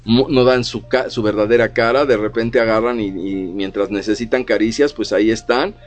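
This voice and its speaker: A man's voice: on the low side, steady tone, moderate pace, faint music behind. A middle-aged man, co-hosting a casual radio talk, explaining at length with many fillers. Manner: explanatory, frequent fillers like "o sea" and "¿no?". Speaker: radio co-host. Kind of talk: casual radio chat on psychology.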